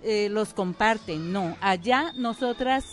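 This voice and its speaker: A woman's voice: mid-range voice, slow, faint music behind. A woman with a Colombian accent answering interview questions in a firm, plain-spoken way. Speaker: guest.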